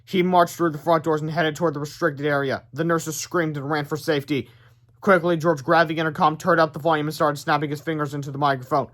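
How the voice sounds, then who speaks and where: Guy reading a kids book out loud, voice a bit high, theatrical but informal narrator. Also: level tone, quick pace. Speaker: read-aloud narrator. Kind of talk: animated children's storybook narration.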